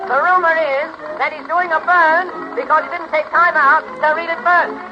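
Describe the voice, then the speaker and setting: Female movie gossip reporter, high voice, 1930s radio style, muffled vintage recording. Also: steady tone, normal speed, bright, forceful tone, faint music behind. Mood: knowing.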